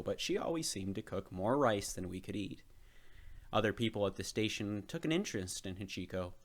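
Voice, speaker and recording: A man reading a children's storybook aloud as narrator. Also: fairly low pitch. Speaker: storybook reader.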